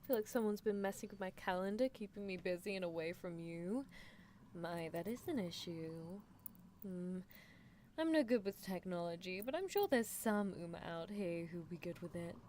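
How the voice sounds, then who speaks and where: A woman's voice: fairly low pitch, animated, darkish tone, lightly acted. Woman playing a teasing mad-scientist horse girl, noisy background. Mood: musing, possessive. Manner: coolly clinical, teasing, unhurried musing. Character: gleefully unethical horse-girl mad scientist in lab coat. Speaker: streamer performing character roleplay. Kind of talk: ASMR roleplay, improvised character monologue. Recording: clear background noise.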